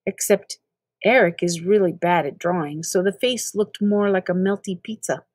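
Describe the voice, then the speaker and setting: A woman's voice: fairly low pitch, normal speed, a little mellow, dry recording, studio quality. An older woman with an American accent reading a children's novel aloud expressively. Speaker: storybook reader.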